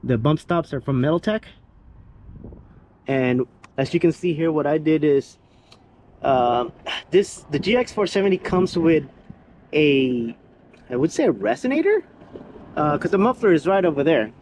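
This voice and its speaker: A man's voice: on the high side, a little slow, long pauses. Rambling man on a truck walkaround. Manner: relaxed, rambling, with many fillers and restarts. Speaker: vehicle builder showing his truck. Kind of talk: casual walkaround vlog. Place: outdoors beside a parked vehicle. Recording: faint outdoor background noise.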